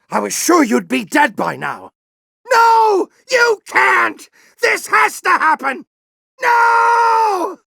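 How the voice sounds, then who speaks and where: Gruff old alien general, intense. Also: male voice, very high voice, bright, forceful tone, no echo, clean studio sound, heavily acted. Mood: defiant, angry, then desperate, furious. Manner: commanding, intense, theatrical. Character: grizzled Lombax general, anguished, fanatical old warrior alien. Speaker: military commander and mentor. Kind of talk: video game voice acting, combat barks and dramatic speeches.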